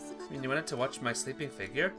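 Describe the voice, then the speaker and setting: A man's voice: mid-range voice, lively intonation, quick pace, loud music behind the voice, natural voice. A man voicing a reserved, formal schoolgirl with dry, reproachful banter from a visual novel. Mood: reproachful teasing. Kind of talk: visual novel character reading.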